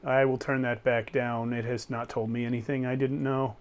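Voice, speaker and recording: A man's voice: medium pitch, level tone, moderate pace. A man playing a tabletop game, moving casually between his character and table talk.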